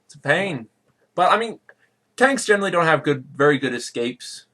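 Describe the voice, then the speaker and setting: A man's voice: on the high side, sing-song, moderate pace, sharp tone. A man co-hosting a gaming podcast in casual chat, blunt and joking with dismissive asides. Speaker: podcast co-host.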